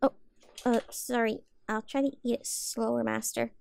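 Woman playing a high-voiced timid little catgirl. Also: fairly even intonation, moderate pace, gravelly, darkish tone, clean tone, dry recording. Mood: meek, apologetic. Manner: hesitant, stammering, meek, apologetic. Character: timid, eager-to-please small catgirl, homeless stray kitten. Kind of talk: audio roleplay addressed to the listener.

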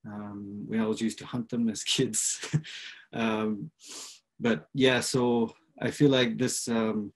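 A young man whose first language is Inuktitut, presenting his artwork on a video call, speaking slowly with many pauses, ums and uhs. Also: lowish voice, flat delivery. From Canadian Arctic. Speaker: artist. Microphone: video call, laptop mic.

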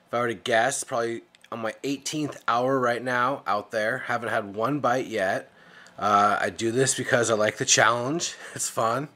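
A man in his thirties with a somewhat high-pitched voice talks casually to an action camera. He is laid-back and plain in his delivery. Clear open-air noise from the boat sits behind him. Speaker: fishing vlogger. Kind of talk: casual vlog narration to camera. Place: outdoors on a small motorboat on a river. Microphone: action camera at arm's length or mounted on the boat. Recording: noisy outdoor action-camera audio.

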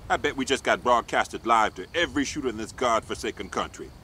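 An actor playing a gruff, no-nonsense army sergeant in a video game, with a commanding manner. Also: male voice, medium pitch, lively intonation, a little fast, sharp tone, a little hiss or hum. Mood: stern.

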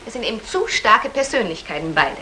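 A disappointed, headstrong wife in vintage radio comedy. Her voice is bright and forceful with lively swings, pointed and emphatic, over faint noise. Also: mid-range voice, fairly quick, rough recording. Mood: self-assured. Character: headstrong, disappointed young wife, sharp-tongued. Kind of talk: 1960 German radio comedy acting.

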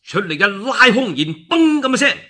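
A man's voice: sits high, big pitch swings, normal speed, sharp tone. A man with a Cantonese accent, a radio storyteller narrating a classical war tale theatrically, with exclamations and spoken sound effects.

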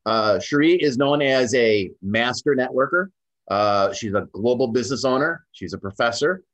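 A middle-aged man hosting an interview over a video call from a room full of bookshelves, talking in a friendly, slow way with many fillers. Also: mid-range voice, animated, fairly bright tone. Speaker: host. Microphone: webcam on video call. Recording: dull lo-fi video call audio.

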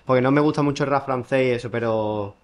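A man's voice: mid-range voice, fast talker. A young man, co-hosting a podcast in casual, slangy, exclamatory chat. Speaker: podcast co-host. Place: small indoor podcast studio. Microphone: podcast microphone on table.

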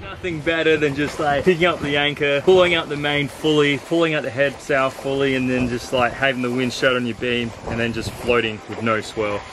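A man's voice: mid-range voice, fairly even intonation, a little fast, hardly any pauses. A young man chatting casually about sailing, joking as he films with a handheld camera aboard his boat. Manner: laid-back, joking. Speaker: sailing vlogger. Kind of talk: casual vlog chat. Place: on a sailboat outdoors. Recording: wind and boat noise.

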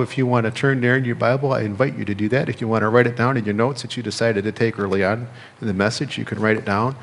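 A middle-aged American man preaching a sermon as a pastor, speaking in measured phrases with pauses and repetition. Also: mid-range voice. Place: church sanctuary, indoors at a lectern. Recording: reverberant church hall.

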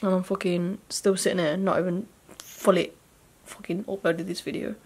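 A woman's voice: fairly low pitch, flat delivery, moderate pace, darkish tone, breathy edge. Young woman vlogger, sweary and rambling, handheld camera in a hotel room. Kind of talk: casual vlog chat. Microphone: phone or camera at arm's length.

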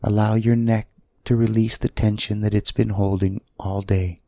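A man leading a guided sleep meditation in a soothing, gentle manner, leaving long pauses between short phrases. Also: fairly low pitch, little pitch movement, no echo, clean studio sound.

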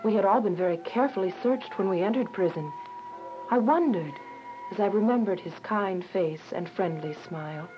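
An earnest, devout young woman played by an actress narrates a radio drama. Her voice is mid-pitched, with clear music behind it on a dull recording. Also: moderate pace, lightly acted. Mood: somber, reflective.

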